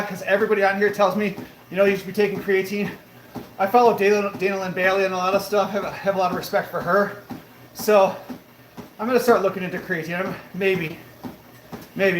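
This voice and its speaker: A man's voice: sits high, a bit bright. A man in his late thirties hosting a live stream from his treadmill, answering viewer comments in an upbeat, motivational way. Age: 30s. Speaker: livestream host. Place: home room with a treadmill, indoors. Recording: roomy echo, faint background noise.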